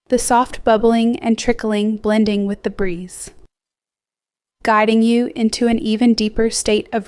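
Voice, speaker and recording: A woman gently guiding a sleep hypnosis meditation in a slow, soothing, scripted delivery with softly trailing phrases. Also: medium pitch, clean tone, no room sound. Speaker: hypnosis guide.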